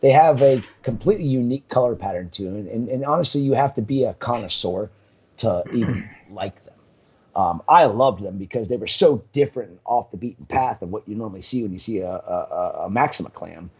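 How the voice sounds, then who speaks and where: Husky man telling rambling stories. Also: on the low side, expressive, brisk, a little mellow, muffled recording. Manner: rambling storyteller, many ums, laughs often. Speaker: guest, coral business owner. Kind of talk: casual live-stream chat.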